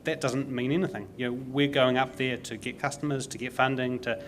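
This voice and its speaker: A man's voice: mid-range voice, fairly even intonation, brisk, a little hiss or hum. A man with a New Zealand accent hosting a casual panel discussion, emphatic and opinionated, often saying "you know". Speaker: panel host.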